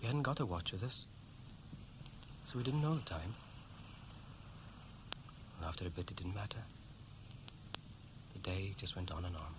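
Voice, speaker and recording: A man's voice: sits low, normal speed, pauses often, close to an everyday voice. An actor playing a restless, evasive young Welshman in a 1960s radio play, speaking in a hesitant, colloquial way. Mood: wistful, bashful. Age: young adult. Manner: hesitant, colloquial, self-correcting. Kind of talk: 1960s radio play acting, naturalistic dialogue. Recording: noisy vintage 1960s radio recording.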